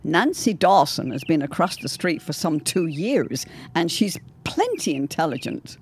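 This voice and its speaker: A low, very expressive genteel older mother in a period audio drama. She speaks formally, with wistful dignity and a needling edge. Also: unhurried, breathy edge, heavily acted.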